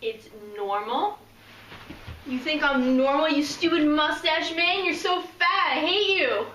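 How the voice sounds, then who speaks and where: A woman's voice: high voice, takes it slowly, fairly bright tone. A woman playing a sheltered, resentful eighteen-year-old fairytale maiden in an amateur comedy skit, blunt and petulant. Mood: petulant anger. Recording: roomy, distant mic, some background noise.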